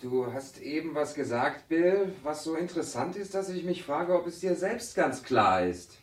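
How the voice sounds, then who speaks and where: An actor playing a keen male student in a radio drama seminar, explaining ideas in an animated way. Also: a little high, moderate pace, natural voice. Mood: intrigued. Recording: roomy, slightly distant studio acoustic.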